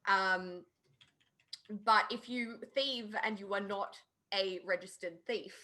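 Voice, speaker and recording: A woman's voice: mid-range voice, takes it slowly, bright, forceful tone. A woman, sounding middle-aged, chatting casually as a podcast panelist.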